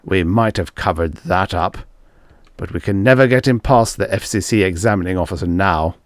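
A man's voice: low voice, moderate pace, dry recording, clean studio sound, close to an everyday voice. A man voicing a grim, domineering show producer who speaks in a harsh, solemn, coldly calculating way in a story reading. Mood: dark.

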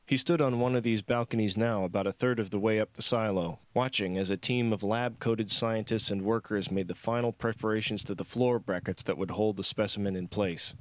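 A man's voice: lowish voice, steady tone, fairly quick, few pauses, no echo. A man reading his own novel aloud as scripted audiobook narration.

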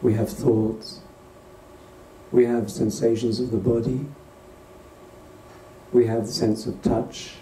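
A man leading a guided meditation as a teacher, speaking gently and unhurriedly in short phrases with pauses between them. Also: mid-range voice, little pitch movement. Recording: distant roomy recording with faint noise.

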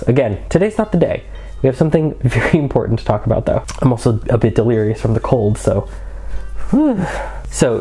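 A man's voice: mid-range voice, mellow. A young man with a cold and a congested voice, casually explaining history from his small home room with wry, sarcastic asides. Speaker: history video host.

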